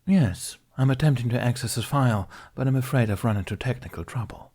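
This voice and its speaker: A male narrator plays a courteous older-generation warrior monk in a science fiction tale. He speaks gently and politely, choosing his words in a measured way. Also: medium pitch, pitched above the performer's own voice, natural voice.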